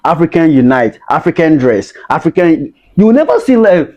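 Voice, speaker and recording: A Ghanaian man in a casual group talk, backing the others with quick agreements. His voice sits fairly high and moves a lot in pitch, giving it a lively sound. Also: dull audio. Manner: chimes in with short agreements. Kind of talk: casual chat.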